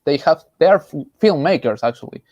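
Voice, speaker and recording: A man from Peru with a Peruvian Spanish accent, giving casual opinions as a panelist in an emphatic, halting way, often repeating phrases. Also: a little high, expressive, darkish tone, dry recording, dull audio. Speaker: panelist, artist.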